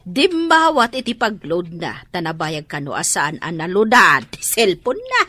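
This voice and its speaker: Give me a scolding mother, bright and animated. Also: on the low side, a little slow, no room sound, soft background music, exaggerated voice. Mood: worried. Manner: nagging, reproachful. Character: exasperated scolding mother of a gambling grown daughter. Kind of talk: Ilocano radio drama acting.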